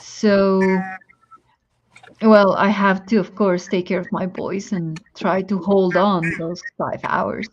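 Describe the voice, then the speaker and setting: A woman's voice: medium pitch, little pitch movement, slow pace, highs cut off, slight background noise. A woman who teaches Spanish, speaking English with a Spanish accent and chatting casually as a guest, thinking aloud with many ums. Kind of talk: casual chat on a live stream.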